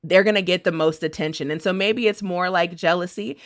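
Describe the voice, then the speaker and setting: American woman, lowish pitch, dry close sound, informal podcast contributor, thinking aloud. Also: brisk, no echo. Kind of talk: casual chat.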